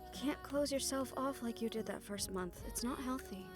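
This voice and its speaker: A woman's voice: on the high side, normal speed, close to an everyday voice. Woman acting caring, teasing supernatural sweetheart over music. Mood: gentle concern. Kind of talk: fantasy romance audio roleplay acting. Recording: music behind the voice.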